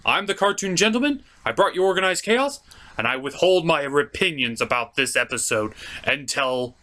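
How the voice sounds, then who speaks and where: A man's voice: on the high side, big pitch swings, moderate pace, bright, forceful tone. A man hosting a cartoon review show, delivering scripted comedic narration in a theatrical, jokey, emphatic way.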